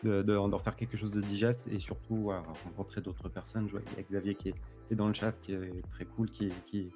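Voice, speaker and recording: A man in his thirties co-hosting a livestream discussion, relaxed and easygoing, repeating words as he thinks aloud. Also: lowish voice, a little fast, over music. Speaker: co-host.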